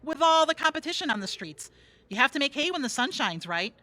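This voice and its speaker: Brassy, sarcastic streetwalker, high-pitched. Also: female voice, big pitch swings, brisk, pushed and bright, full character voice. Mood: wry, weary. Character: brassy, sarcastic streetwise woman prostitute, jaded jail regular. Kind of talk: audio theatre comedy acting.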